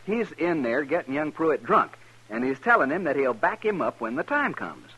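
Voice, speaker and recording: An American actor playing a fussy, apologetic married printer in a 1950s radio western, polite, hesitant and wordy. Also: male voice, a little high, sing-song, normal speed, sharp tone, breathy edge, muffled recording, heavily acted. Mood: anxious.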